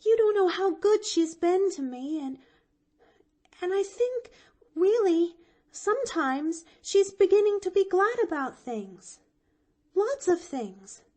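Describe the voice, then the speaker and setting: A woman's voice: very high-pitched, sing-song, slow, dark tone, pitched above the performer's own voice, exaggerated voice. A woman doing an eager stammering little girl. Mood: pleading eager. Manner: stammering, breathless and pleading. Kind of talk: audiobook character voice.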